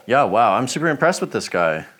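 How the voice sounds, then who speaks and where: A man giving a relaxed, chatty review, rambling with lots of fillers as he chops. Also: mid-range voice, fairly quick, noisy background. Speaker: knife shop reviewer. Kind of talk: casual product review while chopping.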